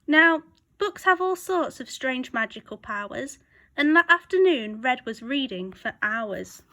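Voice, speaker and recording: A woman reading a rhyming picture book aloud as a storyteller, expressive and rhythmic. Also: high-pitched, a little slow.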